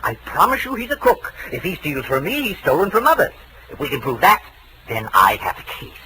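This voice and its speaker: An actor playing an excitable, aggrieved eccentric inventor in a 1940s radio mystery, fussy and sputtering with indignation. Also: male voice, fairly high pitch, brisk, exaggerated voice, low-quality recording. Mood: agitated, insistent. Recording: old radio broadcast, audience noise.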